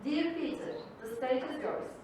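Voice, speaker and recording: A Turkish woman delivers a measured, formal welcome as host of a wine evening. Her voice sits high and her pace is slow. She is heard from a distance in a very reverberant hall with faint noise behind her. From Turkey. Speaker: event host and wine professional. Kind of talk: prepared welcome speech. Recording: distant mic in an echoey hall.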